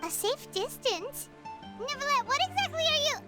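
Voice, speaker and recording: A woman voicing a tiny, excitable fairy companion girl in a fantasy game, with a squeaky, childlike voice. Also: normal speed, music in the background. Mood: curious, startled. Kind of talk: scripted game dialogue, cartoonish.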